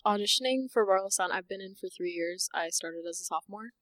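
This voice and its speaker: A teenage girl, a high school senior and singer, talking through her audition story with many fillers. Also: quick pace, fairly bright tone, dry recording. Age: teens.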